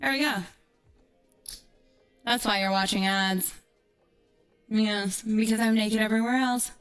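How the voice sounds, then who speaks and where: A woman livestreamer delivering a tongue-in-cheek monologue to her viewers in a teasing, drawn-out, dramatic way, often dropping toward a whisper. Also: on the high side, fairly even intonation, a bit bright, clean tone, soft background music.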